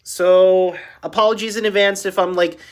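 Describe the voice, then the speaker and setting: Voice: medium pitch, steady tone, normal speed. Stuffy-nosed reactor, chatty. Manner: chatty, sympathetic asides. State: stuffy nose from allergies. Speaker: video reactor. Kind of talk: casual reaction commentary.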